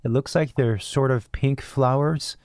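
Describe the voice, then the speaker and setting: A man hosting an interview podcast from a small studio with plants, talking casually into a boom mic and laughing easily. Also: a little mellow, no room sound. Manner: friendly, with ready laughs and quick back-channel replies. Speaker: podcast host.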